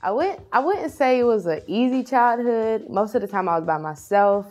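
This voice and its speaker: A woman's voice: a little high, animated, normal speed, darkish tone. A woman from Louisiana, a spoken word poet, talking reflectively about her life in a documentary interview. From Louisiana, US South. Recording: music underneath.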